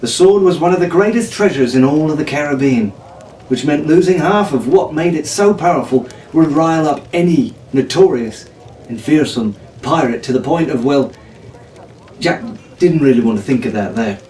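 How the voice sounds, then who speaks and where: An echoing room surrounds this male storyteller as he narrates an adventure tale from a script. His pitch runs a little high, and he sits slightly back from the mic. The delivery is dramatic story reading. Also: expressive, normal speed, a little mellow, distant mic. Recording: echoey room from off-mic, faint noise.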